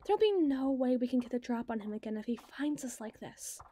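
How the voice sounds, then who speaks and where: A woman acting a sardonic, fast-thinking, bossy secret agent in an ASMR roleplay audio drama, wordy and wry. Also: sits high, expressive, dark tone. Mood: hushed, conspiratorial. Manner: wry, wordy, teasing, flustered when challenged.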